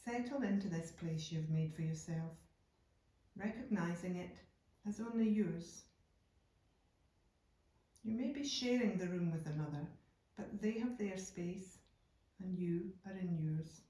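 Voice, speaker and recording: Mellow woman guiding meditation in echoey room. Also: on the low side. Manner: calm, unhurried, soothing, with deliberate pauses. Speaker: yoga nidra meditation guide. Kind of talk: slow guided meditation narration. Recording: echoey room, distant from microphone.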